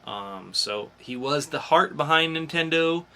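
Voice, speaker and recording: A man's voice: on the high side, expressive, measured pace, sharp tone, slight background noise. A man hosting a podcast, talking casually about geek news in an informal, explanatory way with some swearing. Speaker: podcast host.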